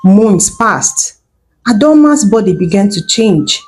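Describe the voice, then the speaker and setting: A woman's voice: mid-range voice, very animated, slow, faint music behind. A woman telling a folktale as a storyteller, in scripted narration.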